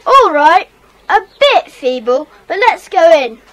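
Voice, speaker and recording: Piping, curious child. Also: female voice, very high-pitched, big pitch swings, slow pace, fairly bright tone, heavily acted. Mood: curious, wary. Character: cautious but curious young child detective. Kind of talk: children's audio drama acting.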